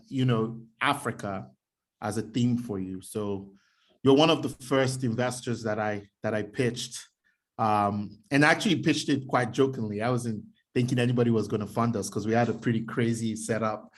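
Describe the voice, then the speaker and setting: A man hosting a live interview, asking questions and addressing the audience with frequent "um" pauses. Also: mid-range voice. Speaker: interviewer host.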